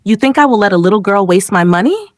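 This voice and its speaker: A woman's voice: medium pitch, animated, fast talker, no echo, clean studio sound, close to an everyday voice. A woman storyteller voicing a cruel stepmother in a folktale, hissing and scolding with contempt.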